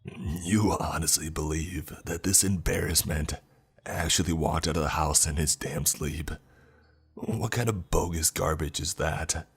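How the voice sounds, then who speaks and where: A man's voice: extremely low pitch, unhurried, husky, heavily acted. A man voicing an angry, spiteful elderly ghost grandfather who speaks with scorn, in a horror story.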